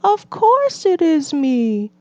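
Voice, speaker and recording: A small, loyal male frog from a children's storybook, played by a woman. The voice is lifted high, gentle and slow, in a slightly dull recording. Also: big pitch swings, dry recording, exaggerated voice. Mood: reassuring.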